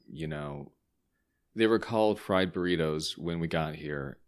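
A man voicing a grieving teenage boy who speaks low, subdued and halting. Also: vocal fry, a bit bright, heavily acted.

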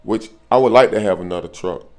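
A man's voice: lowish voice, fairly quick. An American man in his thirties talking casually to camera in a hotel room, slangy, peppering his talk with familiar filler phrases. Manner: slangy, repeats "man", "bro" and "you know what I'm saying". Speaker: truck driver vlogger. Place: small furnished hotel room, indoors.

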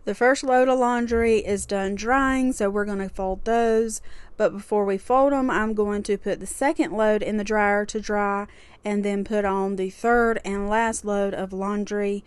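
A woman's voice: medium pitch, steady tone, a little slow, clear, resonant tone, no room sound. Chatty woman narrating her chores. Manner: chatty and homely, with small laughs. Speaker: cleaning vlogger. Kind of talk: casual voice-over narration.

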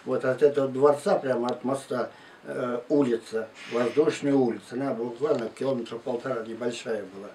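A man's voice: mid-range voice, steady tone, mellow. Elderly man reminiscing, recorded from a distance. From Tula region and Moscow outskirts, Russia. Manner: halting, unhurried, with restarts and pauses. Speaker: war veteran interviewee. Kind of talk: recounting life story in an interview. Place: living room at home, indoors. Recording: distant mic, faint room noise.

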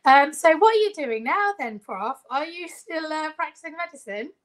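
A woman hosts a live video call lesson for children from her home. She speaks in an upbeat, friendly way with a fairly high voice. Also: lively intonation, normal speed, fairly bright tone. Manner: friendly, upbeat, laughs often. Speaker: host. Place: home room.

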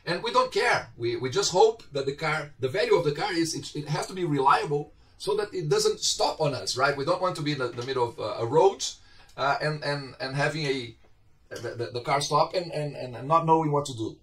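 A middle-aged man with a Brazilian accent teaches an online class from a home office, his slightly higher voice sitting a little back from the webcam mic. He talks conversationally, often asking his students questions. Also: a little fast, a bit bright. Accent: Brazilian Portuguese. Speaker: university lecturer. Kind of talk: informal online lecture. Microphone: webcam on a video call. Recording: slightly distant video-call audio.

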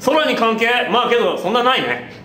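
A man's voice: big pitch swings, a little fast, pushed and bright. A young man playfully hosting a quiz, teasing and mock-bossy, on a noisy webcast from an echoey studio. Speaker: quiz host. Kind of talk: playful game-show banter. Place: small indoor studio, close to camera. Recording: echoey room, noisy low-quality webcast.

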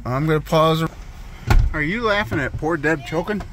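A man's voice: a little high, moderate pace. A man chatting casually with family in a moving van, teasing and joking, as the one filming. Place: inside a moving minivan. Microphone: handheld camera in the back. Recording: loud road noise inside moving van.

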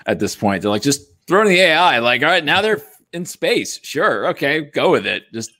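A man's voice: on the high side, very animated, moderate pace, pushed and bright, no echo, clean studio sound. A man on a livestream panel, chatting informally and acting out imagined remarks as he goes. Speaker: panelist on a group stream. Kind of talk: casual chat on a livestream. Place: indoor home setup on a group video call.

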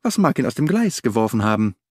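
Brief: a man reading an impatient, sardonic audiobook detective, lively pitch swings, dry close sound. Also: fast talker, husky, dry recording. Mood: explaining, animated. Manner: animated, sardonic, declaiming. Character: restless, impatient middle-aged male detective. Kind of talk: audiobook character voice.

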